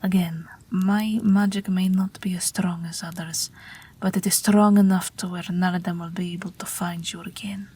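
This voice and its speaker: A woman playing a gentle, wry, protective night witch in an intimate audio roleplay, speaking softly and breathily, often near a whisper. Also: on the low side, little pitch movement, husky. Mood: quietly resolute. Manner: slow, soft, tender reassurance.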